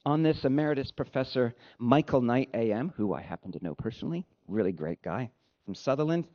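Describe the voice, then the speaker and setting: A man preaching a sermon as a pastor, with a deliberate, emphatic delivery and dry humor. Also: medium pitch, animated, lo-fi sound.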